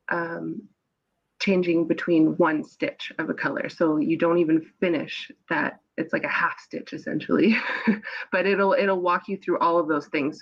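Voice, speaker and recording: A woman guest with a stuffy voice from a cold, explaining a craft technique in a relaxed way with fillers, on a video call through earbuds. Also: lowish voice, dull audio. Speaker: guest designer. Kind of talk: casual explanatory interview talk.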